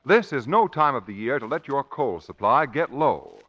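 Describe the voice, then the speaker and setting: Male vintage radio announcer: formal, emphatic, widely swinging mid pitch, muffled old recording. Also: very animated, normal speed, fairly bright tone, faint music behind. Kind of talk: 1940s radio announcing, scripted.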